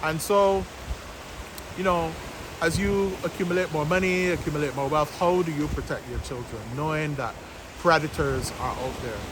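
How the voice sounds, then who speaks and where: A man in his fifties giving an informal explanatory talk to camera outdoors, speaking deliberately in short, halting phrases. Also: fairly high pitch. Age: 50s. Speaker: vlog host, business owner. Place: outdoors by the sea, west coast of Barbados. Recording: loud wind noise on microphone.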